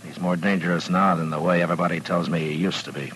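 An American actor playing a steady, dry-humored marshal in an old radio western, speaking in a laconic, firm way. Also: male voice, low voice, quick pace, slight background noise, low-quality recording. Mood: grave. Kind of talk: 1950s radio western acting and narration. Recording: old radio drama with music.

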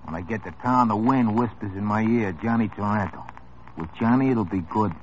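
An actor playing a small-time hoodlum in an old radio drama, tough, wry and world-weary. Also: male voice, fairly low pitch, moderate pace, a little hiss or hum, close to an everyday voice. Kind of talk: 1950s radio drama acting. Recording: muffled old radio broadcast.

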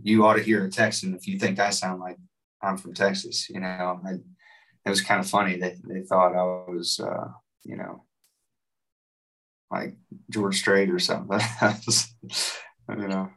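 Low-voiced West Virginian man, flat delivery. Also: recorded from a distance, rough recording. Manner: rambling, many likes and you-knows. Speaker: musician guest. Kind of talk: casual interview chat.

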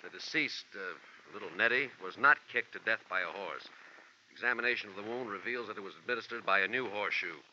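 A man playing a matter-of-fact American homicide lieutenant giving an official report in deliberate 1940s radio style. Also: lowish voice, pushed and bright. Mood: official, dry. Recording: muffled vintage radio recording, faint noise.

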